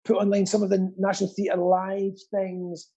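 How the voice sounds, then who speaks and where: A man's voice: high-pitched, monotone, normal speed, mellow, breathy edge. A man chatting casually as a guest, rambling and earnest, with a self-deprecating streak. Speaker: guest, pantomime actor and theatre stagehand. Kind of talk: casual chat.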